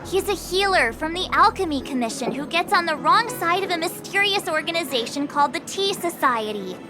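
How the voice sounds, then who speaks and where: Very high-pitched, excitable girl sidekick. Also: a little fast, music in the background. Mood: excited. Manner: eager, dramatic, chatty. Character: excitable girl, self-styled sidekick to a genius detective. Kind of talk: video game voice acting.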